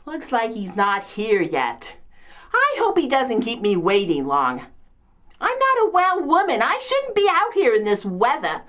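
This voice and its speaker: A woman's voice: mid-range voice, huge pitch swings, measured pace, a bit bright. A woman playing a complaining, martyred old mother-in-law from biblical times in a comedic monologue, with a New York accent and theatrical delivery. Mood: fussy, complaining. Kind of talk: comedic dramatic monologue, performed.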